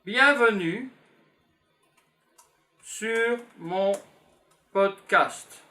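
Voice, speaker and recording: A man's voice: sits high, sharp tone. A man in his fifties from Belgium, teaching beginners and patiently repeating simple phrases as he writes them down. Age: 50s. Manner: slow, patient, repeats phrases while writing. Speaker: French teacher. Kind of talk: classroom lesson for beginners.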